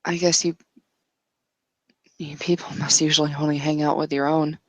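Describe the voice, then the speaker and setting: A woman's voice: sits low, level tone, no echo. A woman playing a nervous, apologetic character in a tabletop roleplay, speaking hesitantly. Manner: hesitant, halting questions. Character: nervous, apologetic young woman newly enchanted to see Fae.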